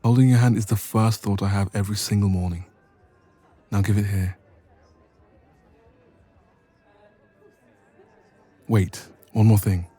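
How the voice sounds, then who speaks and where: Man acting as affectionate young male best friend for romance audio roleplay; dark, relaxed, lowish voice, hesitant, intimate, gently teasing. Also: fairly quick, soft background music, lightly acted. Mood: playful, affectionate.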